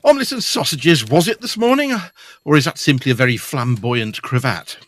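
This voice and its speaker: Lively, wry gentleman. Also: medium pitch, fairly quick, no echo. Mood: teasing. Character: jovial, wry Edwardian gentleman house agent. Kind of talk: period audio drama acting.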